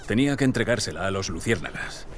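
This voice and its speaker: Casting: male actor as a weary, blunt middle-aged smuggler in a game, lively pitch swings, faint hiss behind. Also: fast, close to an everyday voice. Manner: blunt, pleading then angry.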